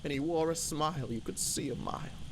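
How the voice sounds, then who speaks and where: A man's voice: fairly high pitch, brisk. A man giving a theatrical, rhythmic, emphatic recitation of a narrative poem. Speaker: poem reader. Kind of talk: dramatic narrative poetry recitation. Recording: loud background noise and ambience.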